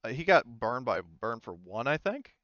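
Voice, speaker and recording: A man's voice: medium pitch, big pitch swings, normal speed, a bit bright, dry recording, dull audio. A man acting as game master, narrating a tabletop roleplaying game in a casual, chatty style.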